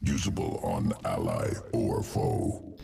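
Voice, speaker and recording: A man voicing a cold, clinical alien creature in a video game, gravelly, speaking in clipped, detached, analytical fragments. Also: a bass voice, slow pace, mellow, soft background music, heavily acted. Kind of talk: video game character dialogue.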